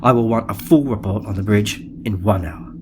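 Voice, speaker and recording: An actor playing a hot-tempered, imperious starship captain in an audio drama, with a commanding, emphatic delivery. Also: male voice, fairly low pitch, moderate pace, noisy background, natural voice. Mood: gruff, commanding.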